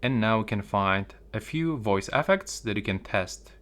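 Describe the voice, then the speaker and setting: Guy with a kinda low voice walking thru phone settings step by step. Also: lively intonation, normal speed, a bit bright. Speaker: tutorial presenter. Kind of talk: step-by-step phone tutorial narration.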